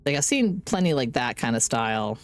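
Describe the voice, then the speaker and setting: A woman live-streaming a game with casual, playful commentary, chattering to herself, her voice deepened by being sick. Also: big pitch swings, fairly quick.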